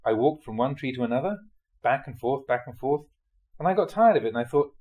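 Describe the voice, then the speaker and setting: A man with a mid-pitched voice reads Buddhist teachings from a prepared text. His phrasing is measured and deliberate, laid out in short clauses. It has the sound of a monk narrating a spiritual audiobook. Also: animated, narrow, tinny audio. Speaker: Buddhist monk reading translated teachings aloud. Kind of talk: scripted audiobook-style reading of dharma talks.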